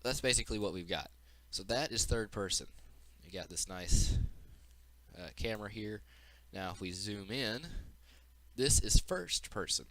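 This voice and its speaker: A man's voice: on the low side, expressive, unhurried, a bit bright. A man giving an informal, unscripted walkthrough of a game demo as its developer, explaining as he goes, with audible breathing into the mic.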